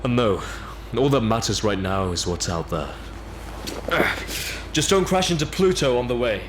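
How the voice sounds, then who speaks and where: A man's voice: mid-range voice, big pitch swings, normal speed, background noise, close to an everyday voice. A man playing a cocky, wry space pilot with a sense of wonder in a scripted audio drama. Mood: cocky, playful. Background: music under the voice.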